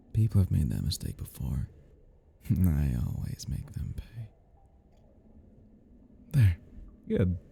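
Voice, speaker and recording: A man playing an obsessive, menacing crime scene cleaner in an intimate roleplay audio drama, rambling and teasing with a husky, gravelly voice. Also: low voice, mellow, a little hiss or hum, exaggerated voice. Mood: threatening, teasing. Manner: rambling, chuckling, teasing, slipping into near-whispers.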